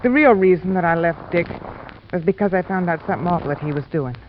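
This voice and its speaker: Actress as an anxious widow on muffled old radio. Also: female voice, on the low side, animated, fast talker, close to an everyday voice. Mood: nervous, confessing. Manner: hesitant, urgent. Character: anxious, secretive young widow confiding in a detective. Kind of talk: 1940s-50s radio drama acting. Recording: muffled, noisy vintage radio recording.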